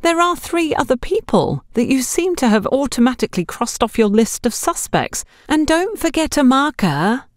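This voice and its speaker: Woman playing a bold high-pitched young salesgirl. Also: sing-song, normal speed, few pauses, no room sound, clean studio sound. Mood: challenging, indignant. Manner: blunt, challenging. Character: bold, outspoken young salesgirl, loyal and challenging.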